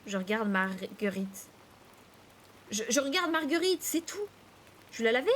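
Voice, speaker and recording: A woman's voice: fairly high pitch, big pitch swings, unhurried, fairly bright tone, slight background noise. A woman voicing a hot-tempered, indignant boy from a storybook, blustering at his sister. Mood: defensive. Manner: indignant, blustering, detaching syllables when angry.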